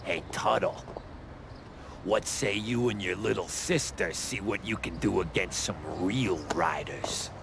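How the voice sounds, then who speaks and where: A man's voice: on the low side, measured pace, creaky, background noise. An actor playing a cocky male rival biker in a game, talking in a mocking, taunting way.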